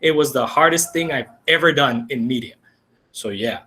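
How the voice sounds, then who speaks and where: Man, bright, lively voice. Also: medium pitch, normal speed, rough recording. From Colombia. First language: Spanish. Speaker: webinar panelist, technology integrator. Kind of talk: casual video call chat. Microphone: video call.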